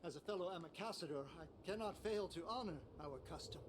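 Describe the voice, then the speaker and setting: A man playing an earnest, sentimental young envoy in a video game, speaking in a halting, heartfelt way. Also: sits high, heavy background noise, natural voice. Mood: earnest, tender. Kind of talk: scripted video game acting.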